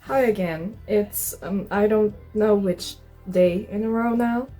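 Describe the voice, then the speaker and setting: Slow-talking young woman vlogger. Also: mid-range voice, music in the background, poor audio. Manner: informal, swearing. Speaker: vlogger, artist. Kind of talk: casual vlog narration.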